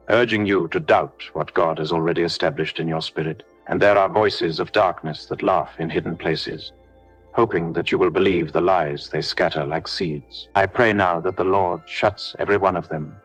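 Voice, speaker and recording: A man delivering a scripted devotional prayer in a solemn, declarative way with measured phrasing. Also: sits low, low-quality recording. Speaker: prayer leader. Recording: music behind the voice. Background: background music.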